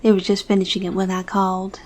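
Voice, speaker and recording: A woman's voice: mid-range voice, monotone, quick pace, a little mellow, natural voice. A woman playing a deferential, efficient office receptionist in an audio roleplay, answering her boss politely. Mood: polite, dutiful.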